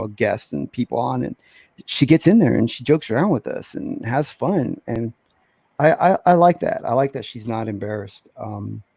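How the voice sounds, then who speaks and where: Mellow-voiced joking man. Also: mid-range voice, dry recording. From Texas. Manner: joking, laughs often, restarts. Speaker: guest, comic book inker. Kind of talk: casual chat.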